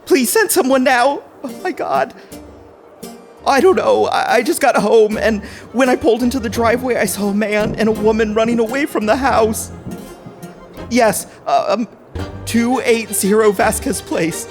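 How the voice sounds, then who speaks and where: A man's voice: extremely high pitch, moderate pace. A man acting in an audio play as a resentful husband turned scheming killer, with a theatrical manner. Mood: fake panic. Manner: theatrical, switching from pleading to smug. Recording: music behind the voice.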